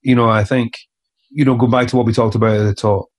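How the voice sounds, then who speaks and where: Earnest, halting man. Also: mid-range voice, steady tone. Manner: earnest, halting, many fillers and restarts. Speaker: author guest. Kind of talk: reflective interview conversation.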